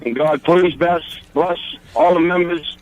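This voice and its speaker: Easygoing toll collector played by a man, slightly high voice, casual working-man patter, mock-solemn radio comedy. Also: fairly even intonation, a little slow, lo-fi sound, slight background noise, lightly acted. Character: easygoing male highway toll booth collector giving a blessing.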